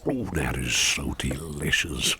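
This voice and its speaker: A man playing a suave, doting gentleman businessman boss in an ASMR roleplay, soft-spoken, courteous and unhurried. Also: very animated, a crowd in the background, heavily acted. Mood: pleased, indulgent. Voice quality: very deep, gravelly, breathy at times. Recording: clear background ambience noise.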